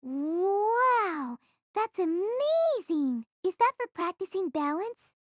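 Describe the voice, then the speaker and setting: A woman's voice: very high-pitched, sing-song, slow pace, no room sound, clean studio sound, exaggerated voice. A woman voicing a cheerful, eager young female reporter in an audio drama. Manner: bright, eager. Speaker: voice actor. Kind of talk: fan audio drama acting.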